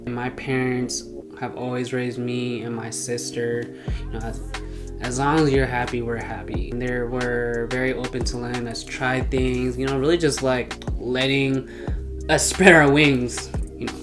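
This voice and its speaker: A young man speaking calmly and earnestly as co-host of a couple Q&A. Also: medium pitch. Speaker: co-host, husband. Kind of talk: casual couple Q&A chat.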